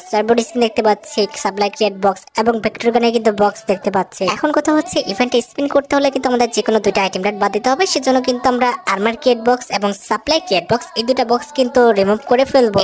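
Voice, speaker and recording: A woman with a Bangladeshi accent hosting a gaming video, chatting casually to viewers as she comments on her gameplay. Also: on the high side. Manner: chatty, addresses viewers directly with casual asides. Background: background music.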